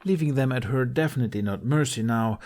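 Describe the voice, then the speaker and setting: A man reading a fantasy story aloud in an expressive audiobook style. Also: medium pitch, fast. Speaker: fan fiction narrator.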